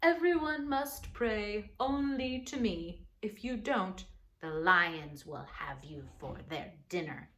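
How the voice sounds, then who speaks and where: A woman's voice: medium pitch, expressive, slow pace, a bit bright. A woman voicing an earnest male king in a children's storybook, speaking in emphatic proclamations. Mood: commanding.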